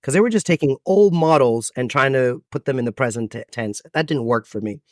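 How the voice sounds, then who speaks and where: Animated American-accented man telling his story. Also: medium pitch, no room sound, studio quality. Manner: expansive, animated storytelling with dramatic pauses. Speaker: podcast guest, event MC. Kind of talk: storytelling interview answers.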